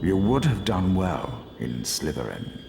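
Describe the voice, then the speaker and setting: Wry old magic hat, deep creaky voice, roomy rumbling sound. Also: male voice, very animated, measured pace, mellow, a little hiss or hum, exaggerated voice. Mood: knowing.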